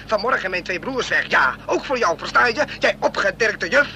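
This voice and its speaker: An actor playing an offended provincial steward in a radio play, spluttering with indignation and scorn. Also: male voice, high voice, animated, normal speed, bright, forceful tone, heavily acted. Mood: indignant, scornful. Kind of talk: Dutch radio play acting. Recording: old radio play, faint hum.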